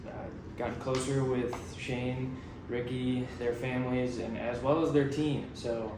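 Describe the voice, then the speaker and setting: Male podcast host, mid pitch, halting delivery, mic far off in an echoey room. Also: flat delivery, noisy background. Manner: halting, many fillers and restarts. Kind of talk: casual podcast hosting.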